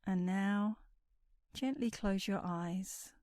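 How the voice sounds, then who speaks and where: A woman leading a guided sleep meditation in a soothing, unhurried manner, with breathy endings to her phrases. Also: medium pitch, darkish tone, no room sound, clean studio sound.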